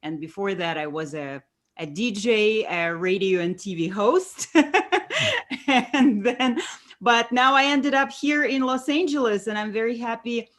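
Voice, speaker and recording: A woman from Russia, an actress chatting on a video call, quick and laughing often. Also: fairly high pitch, fairly bright tone. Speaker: actress guest. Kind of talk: casual video call chat.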